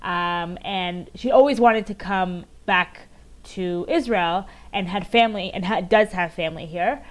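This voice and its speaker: A woman in her thirties, originally from Maryland, speaking as an interview guest over a remote call from home. She tells her story earnestly and pauses often with "um". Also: lowish voice. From United States, Maryland. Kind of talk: conversational interview answers.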